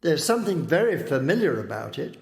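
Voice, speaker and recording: A man voicing a kind elderly gentleman, a retired lawyer, speaking gently and with concern. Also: fairly high pitch, sing-song, brisk, gravelly, reverberant, big-room sound, pitched above the performer's own voice.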